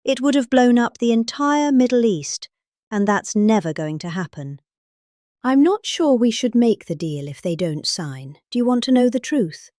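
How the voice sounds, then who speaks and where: A middle-aged British woman reads aloud with pompous, deadpan gravity. Her pitch swoops up and down, and she leans on pointed pauses and heavy emphasis. The sound is close and dry, with no room around it. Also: no echo. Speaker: comic reader. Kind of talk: deadpan dramatic reading.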